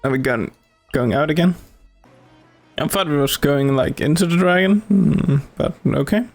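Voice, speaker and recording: A woman's voice: low voice, moderate pace, a little mellow. A woman giving casual, offhand gameplay commentary in colloquial wording, reacting as she plays. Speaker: gamer playing through a video game. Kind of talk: casual let's play commentary. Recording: game music behind commentary.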